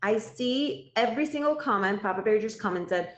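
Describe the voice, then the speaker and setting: A woman's voice: mid-range voice, lively intonation, moderate pace. A woman in her thirties from Cuba, a native Spanish speaker, hosting a live stream and chatting directly with her viewers. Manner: chatty, addresses viewers directly, thanks gifters. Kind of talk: casual live stream chat. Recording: roomy, slightly dull sound.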